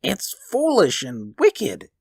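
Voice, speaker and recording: A man's voice: high voice, big pitch swings, slow pace, bright, forceful tone, no room sound, studio quality, voice pushed up, exaggerated voice. A man voicing a fearful old husband in a storybook reading, with an unsteady, stammering delivery. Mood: fearful, faltering.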